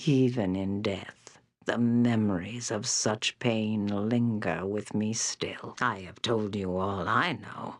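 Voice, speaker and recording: Elderly female seer, fantasy game, husky aged voice, solemn, portentous, weary, very dry close sound. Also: very deep voice, fairly even intonation, no echo, exaggerated voice. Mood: resigned, solemn. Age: older. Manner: slow, grave, portentous. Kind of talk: dramatic fantasy game voice acting.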